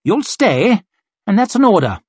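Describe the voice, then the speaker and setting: A man's voice: high-pitched, sing-song, unhurried, darkish tone, slightly rough, no echo, pitched above the performer's own voice, heavily acted. A man voicing an arrogant, mocking cavalry colonel with a sneering, theatrical delivery.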